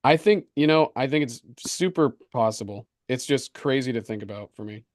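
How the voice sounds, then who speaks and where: A man co-hosting a casual show, hoarse from losing his voice, talking in eager, upbeat bursts. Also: mid-range voice, normal speed, no room sound, studio quality. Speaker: co-host. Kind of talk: casual crypto chat.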